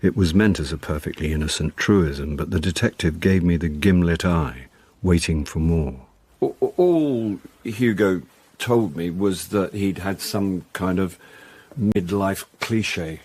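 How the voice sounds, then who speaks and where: A self-deprecating, middle-aged British man in a radio play. His voice sits low and is a little rough at the edges. He comes across as hapless, wry and easily flustered. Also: expressive, measured pace, darkish tone, heavily acted. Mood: nervous, halting. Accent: British English. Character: hapless, wry middle-aged male actor caught up in murder. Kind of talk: naturalistic radio drama acting with confiding narration.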